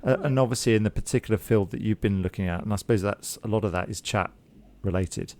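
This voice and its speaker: A man's voice: on the low side, fast talker, warm tone. A man hosting a podcast interview, reading a prepared introduction and then asking questions with hesitations and repeated words. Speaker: podcast host.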